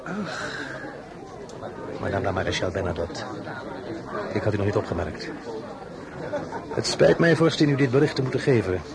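An actor playing an imperious French emperor in a radio drama, pompous, sardonic and smoothly commanding, shifting between grand address and pointed asides. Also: male voice, on the low side, sing-song, normal speed, pauses often, a loud crowd. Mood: coolly condescending.

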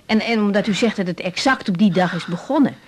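An actress playing a caring, practical young female doctor in a radio drama, asking and explaining in a brisk, direct way. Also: mid-range voice, slight background noise, lightly acted. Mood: firm, practical. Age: young adult.

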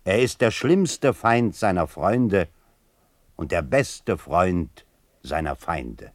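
An actor playing a grave, aphoristic male speaker passing judgment in a radio drama, deliberate and emphatic. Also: deep voice, expressive, no echo, exaggerated voice. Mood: emphatic, judging.

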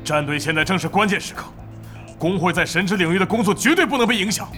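Stern middle-aged boss, commanding and calculating, animated delivery for audio drama. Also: male voice, sits high, fast, soft background music. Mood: stern, alarmed. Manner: commanding, interrogating. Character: stern, scheming middle-aged male club manager. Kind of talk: Mandarin audio drama acting.

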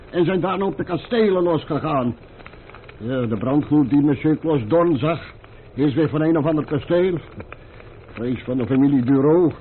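An actor playing a frightened, deferential French innkeeper with a stammering delivery in a historical radio play. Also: male voice, fairly high pitch, close to an everyday voice. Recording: tinny, band-limited old radio drama with noise.

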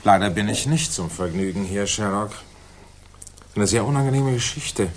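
An actor playing a grumbling, self-important senior government gentleman, formal and displeased, in a 1960s radio drama. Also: lowish voice, moderate pace, a little hiss or hum, natural voice. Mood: formal, annoyed.

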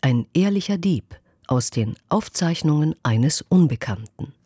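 A man's voice: on the high side, expressive, a little slow, mellow, breathy edge, no room sound, clean studio sound. A man giving a scripted announcement as a radio play announcer.

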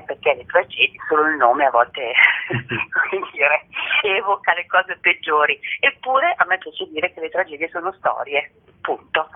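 A woman's voice: deep voice, slightly rough. A woman explaining things in an articulate, reflective and didactic way during a radio interview. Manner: articulate, didactic, uses rhetorical tag questions. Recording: tinny, narrow phone-line sound.

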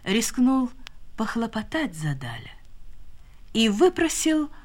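A woman narrating a scripted radio drama with measured, literary storytelling. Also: a little high, big pitch swings, darkish tone.

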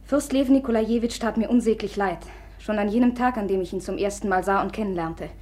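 A woman's voice: medium pitch, fast, a little mellow, lightly acted. An actress playing Aglaya, a proud and determined young woman, speaking in a commanding, cutting way in a 1950s radio play. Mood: cold determined.

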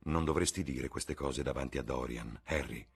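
A man voicing an earnest, melancholy painter in an audiobook, quiet and pleading, with a husky voice. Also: extremely low pitch, moderate pace, full character voice. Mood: grumbling. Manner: grumbling, sad, pleading. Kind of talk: audiobook character voice.

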